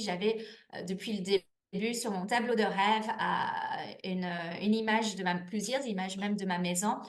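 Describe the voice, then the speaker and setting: Chatty woman guest in an echoey room. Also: mid-range voice. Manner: chatty, many restarts and fillers. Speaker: network marketing manager, guest. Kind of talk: candid interview answers.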